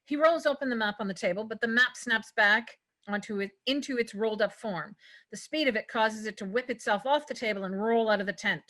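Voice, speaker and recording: A woman reads screenplay stage directions as narrator. Her voice is on the high side and clearly bright, suited to scripted scene-setting. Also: brisk.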